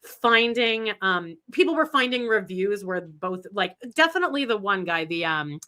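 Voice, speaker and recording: A woman's voice: mid-range voice, lively intonation, normal speed, pushed and bright. A woman co-hosting a podcast in casual pop-culture chat, rambling and enthusiastic with lots of "like" and restarts. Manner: rambling, heavy use of "like", frequent restarts; chatty and enthusiastic. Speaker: podcast co-host.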